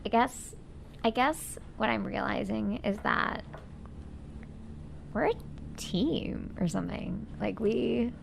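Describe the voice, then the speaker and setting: A woman improvising casually as a player in a tabletop roleplaying game, speaking in a halting, repetitive, slangy way with lots of "like". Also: a little high, a little hiss or hum. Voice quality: creaky. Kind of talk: casual in-character improvised chat.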